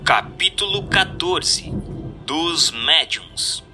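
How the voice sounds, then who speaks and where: A man's voice: on the high side, animated, extremely slow, music in the background. A Brazilian man reading a book aloud as scripted narration, in a formal, didactic and emphatic manner.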